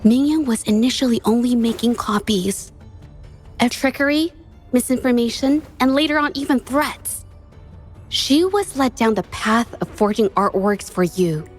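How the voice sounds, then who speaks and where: Female actor as a high-voiced, earnest young painter who is hesitant but firm, for game dialogue. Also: a little slow, faint music behind, close to an everyday voice. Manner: earnest, hesitant at first, then resolute. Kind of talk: video game voice acting.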